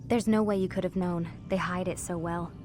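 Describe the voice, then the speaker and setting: An actress playing an earnest young female lab assistant who explains things in a hushed, guarded way. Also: on the low side, steady tone, normal speed, lightly acted. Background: game score music.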